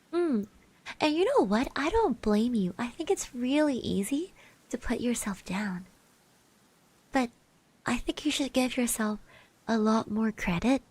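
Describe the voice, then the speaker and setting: A woman plays a kind, bashful girl of eighteen in an ASMR classmate roleplay. Her voice is soft and fairly high, and she speaks very slowly with hesitant, reassuring stammers. The sound is close and dry. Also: breathy edge, no room sound, exaggerated voice. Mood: tender, encouraging. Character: shy, kind eighteen-year-old girl classmate giving encouragement. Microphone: close microphone.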